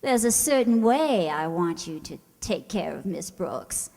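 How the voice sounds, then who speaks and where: A woman plays a haughty, scheming lady schoolteacher in a radio comedy. She drawls slowly with lively, sly swoops and condescending sweetness, in a room with a long reverb. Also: lowish voice, gravelly, heavily acted. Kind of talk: old-time radio comedy acting.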